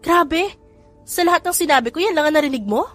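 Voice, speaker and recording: A woman voicing an exasperated young mother who scolds the man she loves, in a high emphatic voice. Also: expressive, a little fast, a bit bright, soft background music. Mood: worried pleading. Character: exasperated loving young mother, sharp-tongued.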